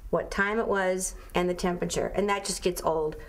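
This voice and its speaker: A woman's voice: on the low side, steady tone, normal speed. A woman speaking unscripted in an interview as a local witness, emphatic and repeating herself for weight. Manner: emphatic, repetitive.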